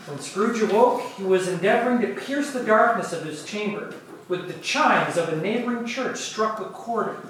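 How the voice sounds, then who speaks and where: A man's voice: sits high, moderate pace, fairly bright tone. A man reading scripted narration for an amateur dramatic play reading. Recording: very echoey room, distant mic, faint noise.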